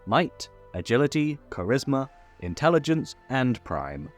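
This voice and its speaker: A man's voice: mid-range voice, big pitch swings, unhurried, soft background music. A man hosting a tutorial, reading a scripted explainer in an instructional way with lightly playful asides. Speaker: host of a tabletop game tutorial.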